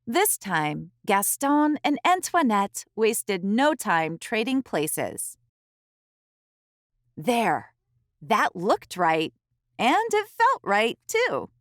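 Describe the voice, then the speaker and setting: A woman host reading a picture book aloud to young children, expressively, with careful phrasing and dramatic pauses. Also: medium pitch, no room sound, clean studio sound.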